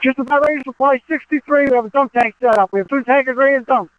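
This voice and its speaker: A man's voice: very high-pitched, very fast, dry recording. A man reporting as a fire captain over a two-way radio. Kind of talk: radio dispatch traffic, status reports. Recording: muffled fire radio transmission.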